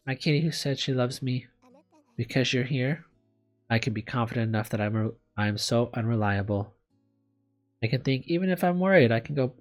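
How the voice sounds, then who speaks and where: A video game streamer talks through a visual novel in a medium-low, androgynous voice. The delivery moves between reading aloud and casual, candid commentary, self-deprecating and unafraid to swear. Gentle guitar music plays behind the voice.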